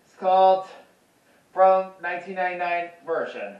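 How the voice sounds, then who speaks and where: A man in his thirties rehearsing folk songs on acoustic guitar at home, giving slow, halting introductions between songs. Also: high-pitched. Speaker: folk musician. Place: small bedroom, indoors. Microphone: far camera. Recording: roomy, distant, dull camera audio.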